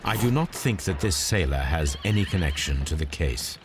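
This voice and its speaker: An actor playing a keen, confident middle-aged British gentleman detective in a video game, speaking formally and precisely. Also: deep voice, lively intonation, moderate pace, background noise. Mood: analytical. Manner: precise, formal, articulate, self-assured. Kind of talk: video game cutscene acting, deductive monologue.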